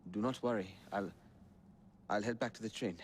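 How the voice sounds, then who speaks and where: Actor as shy ally, low, stammering, self-doubting. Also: male voice, normal speed, slight background noise, lightly acted. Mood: anxious. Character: shy, earnest male ally, eager to help. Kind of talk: video game cutscene acting.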